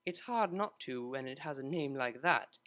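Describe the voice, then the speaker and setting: A man voicing a refined, polite young female pony cellist with a proper, dry, gently wry manner in a story reading. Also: medium pitch, lively intonation, moderate pace, lightly acted. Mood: dryly skeptical. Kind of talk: fan fiction audiobook character voice.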